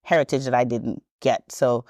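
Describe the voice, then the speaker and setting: A low-voiced woman talks through her life story as a podcast guest. She rambles comfortably, restarting sentences and dropping in ums, and laughs easily. The sound is dry and close, with no room around it. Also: fairly even intonation, normal speed, dark tone, dry recording. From Trinidad and Tobago. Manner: chatty and rambling, frequent ums and self-corrections, laughs easily. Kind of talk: casual storytelling interview.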